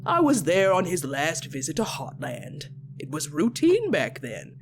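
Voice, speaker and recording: A man's voice: fairly high pitch, wildly swooping pitch, unhurried, creaky, over music, full character voice. A man voicing a self-important, sinister monster villager in a comic dub, theatrical and gloating. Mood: gloating, dramatic. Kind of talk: comic dub cartoon acting.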